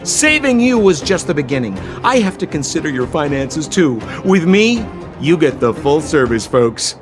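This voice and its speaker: A sly young game hustler, voice kinda high and all over the place, fake-friendly sales patter, music under it. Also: male voice, big pitch swings, normal speed. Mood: wheedling, theatrical. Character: slippery, theatrical young male hustler, fawning and sly. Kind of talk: video game cutscene voice acting.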